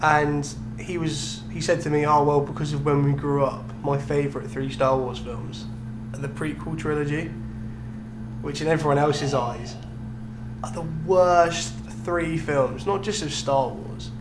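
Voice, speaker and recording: A man's voice: fairly high pitch, steady tone, measured pace. A young British man co-hosting a podcast in a casual, chatty style. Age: young adult. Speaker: podcast co-host. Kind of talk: casual chat. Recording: echoey room with clear hum.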